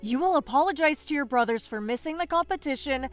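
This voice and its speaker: A stern queen of the merfolk, played by a woman, speaks in a high voice. She is a commanding, reproachful mother in an audio drama, with soft music behind her. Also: lively intonation, quick pace.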